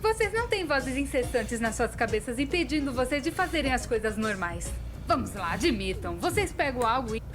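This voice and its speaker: A woman performs an excitable young female cartoon storyteller with a Brazilian accent. Her voice sits high and swings widely in pitch as she narrates with self-mocking, exaggerated expression. Music plays clearly behind her. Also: normal speed. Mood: amazed, animated. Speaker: voice actress in a fan dub. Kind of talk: scripted comedic narration of an animated storytime video.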